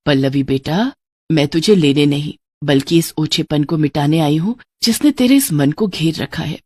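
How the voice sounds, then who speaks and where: A woman voicing the gentle, solemn spirit of a dead mother in a fairy tale, speaking soothingly. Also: deep voice, animated, moderate pace, slightly rough, no echo, clean studio sound, pitched below the performer's own voice. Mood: tender, solemn.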